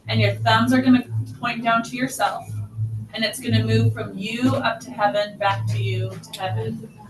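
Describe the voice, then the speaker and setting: A woman's voice: fairly high pitch, level tone, normal speed. Deaf woman, sign language teacher, patient step-by-step explanations, distant mic, noisy echoey hall. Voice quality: deaf speaker's voice. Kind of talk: teaching a class, answering questions.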